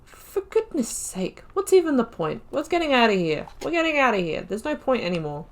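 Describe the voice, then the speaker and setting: A young woman hosting a casual let's-play, chatting excitably and talking to herself while she plays. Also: fairly high pitch, brisk. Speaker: gaming channel host.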